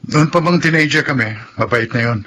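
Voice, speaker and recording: An actor playing a humble, caring older brother in a Tagalog radio drama. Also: male voice, a little high, a little fast, pushed and bright. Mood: earnest, reassuring.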